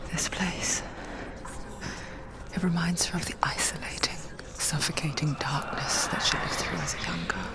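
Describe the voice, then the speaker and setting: A woman voicing an intimate, sorrowful narrator in a video game, confiding in a hushed, whispered style. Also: sits low, big pitch swings, moderate pace. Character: soft-spoken female narrator voice, intimate and sorrowful.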